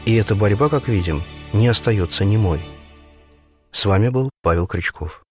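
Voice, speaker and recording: A man hosting a radio book segment, reading his scripted commentary in a measured, reflective way. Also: lowish voice. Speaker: radio host. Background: music.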